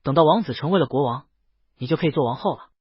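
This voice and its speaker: A man's voice: on the high side, expressive, quick pace, breathy edge, no room sound, muffled recording. A man voicing a fawning, patronizing palace adult in a storybook, coaxing and condescending.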